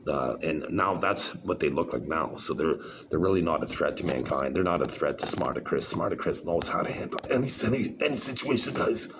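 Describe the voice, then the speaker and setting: A man with a very deep voice, talking in a halting, rambling monologue full of fillers and restarts. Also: expressive. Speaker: vlogger. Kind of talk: rambling solo monologue to camera. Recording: clear background noise.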